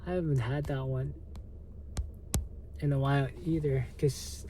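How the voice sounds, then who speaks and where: A man with a Korean accent, a native Korean speaker, hosting a livestream and answering viewer comments in a halting, hesitant way with lots of fillers. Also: medium pitch, steady tone, mellow, a little hiss or hum. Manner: slow, halting, many fillers and restarts. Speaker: livestream host.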